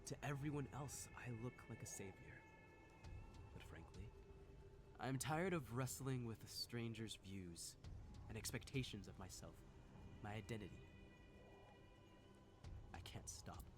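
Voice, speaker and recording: A man's voice: fairly low pitch, unhurried, pauses often, music in the background, lightly acted. A man voicing a tormented, intense hero in a visual novel, with a grave, theatrical delivery. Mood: anguished, weary. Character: tormented male hero turned monstrous, brooding and intense. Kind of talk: dramatic visual novel voice acting.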